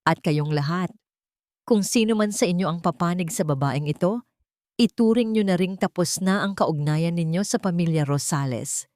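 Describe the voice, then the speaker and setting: A woman's voice: lowish voice, moderate pace, darkish tone, no room sound, natural voice. A woman narrator plays a haughty older matriarch in a family melodrama. She is furious, scornful and imperious, issuing threats in a dry, close recording. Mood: furious, threatening.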